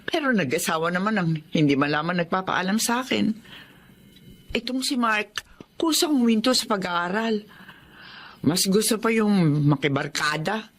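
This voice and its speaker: A woman's voice: mid-range voice, moderate pace, long pauses, fairly bright tone, natural voice. A woman playing a weary older mother. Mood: worried. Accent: Filipino. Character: worried older widowed mother, egg vendor. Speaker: widowed mother of grown sons. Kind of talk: radio drama acting.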